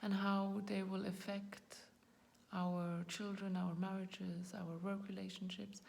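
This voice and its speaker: A woman's voice: mid-range voice, level tone, slow, gravelly, a little mellow. A woman in her forties, not a native English speaker, hosting her own channel and talking reflectively to camera, searching for words and often dropping into a whisper. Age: 40s. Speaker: YouTube channel host. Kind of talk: personal talk to camera.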